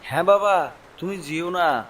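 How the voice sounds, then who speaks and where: A man's voice: high voice, unhurried, a bit bright, far from the mic, a little hiss or hum. A man playing an older village tea-stall keeper in a horror cartoon, speaking in a fatherly, cautioning and mysterious way. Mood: worried, cautioning.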